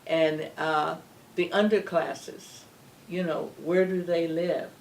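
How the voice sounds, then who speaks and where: An older woman speaking as an interview guest, measured and emphatic, often asking rhetorical questions. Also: lowish voice, steady tone, a little mellow. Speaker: guest, former legislator. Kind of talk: earnest interview talk. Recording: distant mic with faint background hum.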